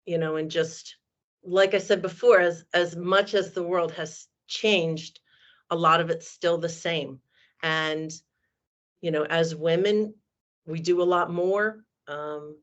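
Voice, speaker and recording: A woman who owns a cleaning company, talking in a rambling way at a roundtable, full of "you know". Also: low voice. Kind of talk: roundtable remarks. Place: on a video call. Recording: muffled video call audio.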